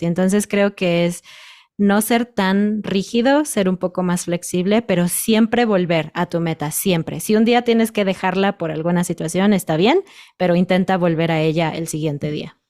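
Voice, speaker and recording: Measured, low-voiced Mexican woman. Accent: Mexican Spanish. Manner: thoughtful, measured, with soft laughs. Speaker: podcast guest, Spanish teacher. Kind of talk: casual interview chat.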